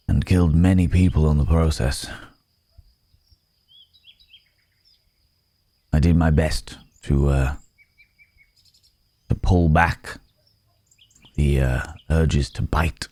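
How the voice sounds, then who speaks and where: Guy doing a tired haunted werewolf man, asmr roleplay, dark mellow voice, super close and dry, pensive. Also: very deep voice, dry recording, heavily acted. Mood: grave, haunted. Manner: halting, grave, pensive. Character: weary, remorseful male werewolf in human form. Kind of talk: slow, intimate ASMR roleplay.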